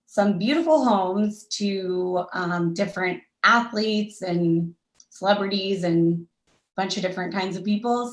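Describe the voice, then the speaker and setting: A woman introducing herself on a panel, soft-spoken and hesitant, with frequent ums. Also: mid-range voice, reverberant. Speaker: realtor, panelist. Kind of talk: casual personal introduction.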